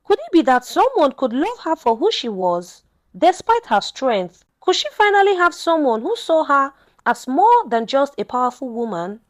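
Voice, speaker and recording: A woman narrating a scripted folktale in a dramatic, measured storytelling manner. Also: sits high, very animated.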